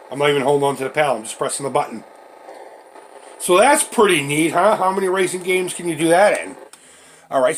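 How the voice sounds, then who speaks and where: A middle-aged man with a stuffy, congested voice from a head cold, giving casual, self-deprecating commentary as he hosts a retro video game showcase. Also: medium pitch. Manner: self-deprecating, informal, mildly crude. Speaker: host of a retro gaming channel. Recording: clearly noisy home recording.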